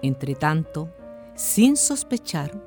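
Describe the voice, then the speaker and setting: A woman's voice: deep voice, very animated, takes it slowly, dark tone, faint music behind, exaggerated voice. A woman narrating a scripted radio drama as its storyteller.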